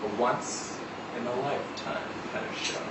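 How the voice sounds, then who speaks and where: Big gruff drifter played by a male storyteller, terse, cryptic, unhurried; roomy, noisy distant recording. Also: mid-range voice, lightly acted. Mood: inviting. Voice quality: gruff, rough.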